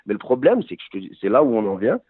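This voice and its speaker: Fast-talking thirties man on a phone line. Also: on the low side, big pitch swings, breathy edge, no room sound. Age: 30s. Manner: fast, storytelling, self-correcting. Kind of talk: casual debate over a phone call. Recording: tinny phone line, dry.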